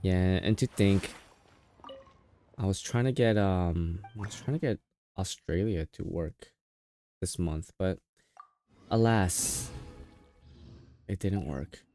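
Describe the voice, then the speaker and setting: A man's voice: sits low, slow, long pauses, dark tone. A man livestreaming a game in casual chat, sounding tired, rambling and playfully teasing. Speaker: game streamer. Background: game music.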